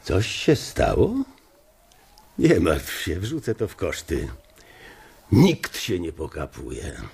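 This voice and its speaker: An actor in a radio drama playing a smug, mocking male banker with a low gravelly voice. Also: wildly swooping pitch, slow, darkish tone, full character voice. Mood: taunting. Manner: taunting, condescending. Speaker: Roder.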